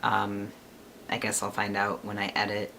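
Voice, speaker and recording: A man's voice: lowish voice, monotone, moderate pace, creaky, sharp tone, slight background noise. A man in his twenties chatting casually to his camera, rambling and laughing often. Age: 20s. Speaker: vlogger.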